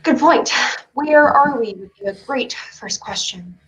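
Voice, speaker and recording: Casting: woman as hot-headed, suspicious kid in a stage play, fairly high voice, defiant. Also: lively intonation, a little slow, fairly bright tone, poor audio. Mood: sceptical. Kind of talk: staged reading of a play over video stream.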